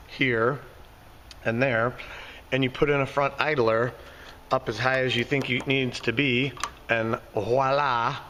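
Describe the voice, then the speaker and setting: A hoarse man giving a casual, folksy walkthrough of his garage door repair work. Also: medium pitch, a bit bright, slight background noise. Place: underground parking garage. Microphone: handheld phone camera.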